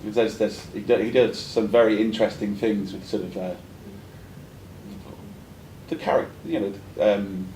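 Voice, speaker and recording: Low, mellow-voiced man in echoey room. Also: steady tone, a little slow, long pauses. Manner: hesitant, polite, discursive. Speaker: audience questioner. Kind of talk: academic conference discussion. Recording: echoey room with faint rumbling noise.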